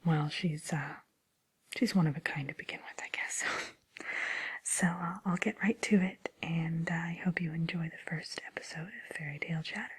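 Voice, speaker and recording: A woman hosting an ASMR show, whispering a casual introduction in a soft, hesitant manner. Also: sits low, steady tone, normal speed, slightly rough.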